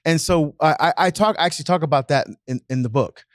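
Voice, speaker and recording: A man's voice: a little high, fast, dry recording, clean studio sound. A man, a parish priest, talking in a casual podcast discussion and telling stories with frequent restarts. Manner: storytelling, frequent restarts and repeated words.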